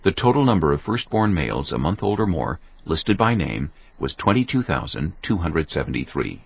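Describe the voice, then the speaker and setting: A man with a gravelly voice narrating scripture from a script in a solemn, measured, formal manner. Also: rumbling low, sing-song, dry recording. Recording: slightly muffled, music bed behind.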